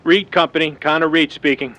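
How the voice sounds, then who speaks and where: An actor playing a slick, devoted old-time talent agent with slangy, careful patter, in a vintage radio drama style. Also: male voice, fairly high pitch, fairly even intonation, brisk, pushed and bright. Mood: brisk, teasing.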